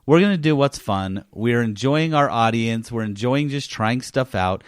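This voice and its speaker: A man's voice: mid-range voice, moderate pace, smooth, dry recording, clean studio sound. A man co-hosting a podcast, chatting casually and emphatically about games. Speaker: podcast co-host. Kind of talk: casual gaming chat.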